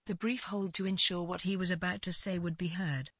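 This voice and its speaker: Female story narrator, husky texture, lowish pitch, deliberate phrasing, very dry recording. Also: fairly even intonation, fairly bright tone, dry recording. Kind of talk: scripted literary fiction narration.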